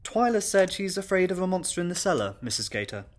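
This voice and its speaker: A man's voice: sits high, sing-song, quick pace, voice pushed up. A man voicing a stern, no-nonsense young governess with a firm, dry manner in a storybook reading. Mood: dutiful.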